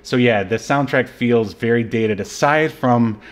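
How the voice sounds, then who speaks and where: A man co-hosting a casual movie podcast, reviewing an old film in an easy, chatty way. He rambles, fills pauses with "um" and "uh", and restarts his sentences often. His voice sits at a middling pitch for a man. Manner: rambling, many fillers and restarts. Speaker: podcast co-host.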